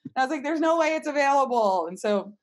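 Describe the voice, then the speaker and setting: A woman's voice: sits high, animated, fast. A woman speaking casually as a startup founder in an interview, telling stories and voicing her own past reactions. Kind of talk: casual conversational storytelling.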